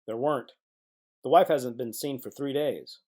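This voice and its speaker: Male actor as curt, no-nonsense police chief for noir radio play, medium pitch, all business. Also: moderate pace, darkish tone, close to an everyday voice. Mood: impatient, commanding. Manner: brusque, businesslike. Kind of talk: noir audio drama acting.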